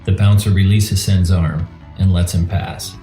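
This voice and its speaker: A man's voice: sits low, flat delivery, a little slow. A man reading scripted, screenplay-style narration for an audio drama. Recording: roomy echo with faint music.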